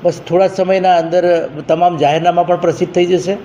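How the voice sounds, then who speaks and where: A man briefs a reporter as an education official. His voice sits on the high side and is soft-edged and mellow. He is emphatic and repeats his phrasing, with faint noise around him. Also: level tone, a little fast. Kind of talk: informal spoken briefing to a news reporter.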